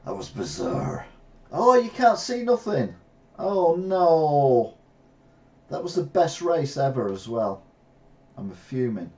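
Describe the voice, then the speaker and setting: A middle-aged English man streaming a retro racing game, reacting excitably and trash-talking rivals from a gaming chair at home. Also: a little high, takes it slowly, long pauses, lo-fi sound. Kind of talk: live gameplay commentary. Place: small room at home, seated in gaming chair.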